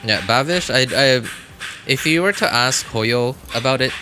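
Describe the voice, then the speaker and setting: A man's voice: mid-range voice, expressive, a little slow, background noise. A man livestreaming games, chatting casually with viewers in a rambling, hesitant way, often backtracking and hedging. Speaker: game livestreamer.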